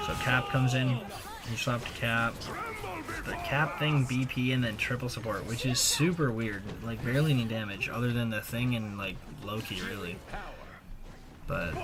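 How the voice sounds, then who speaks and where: A man giving casual, slangy voice-over commentary on gameplay, conversational with animated reactions. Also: mid-range voice. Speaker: gaming video creator.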